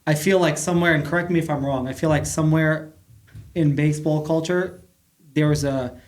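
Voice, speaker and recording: A man's voice: fairly high pitch, fairly even intonation, brisk, darkish tone. A man talking sports casually in punchy, slangy, sweary phrases. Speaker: sports show panelist.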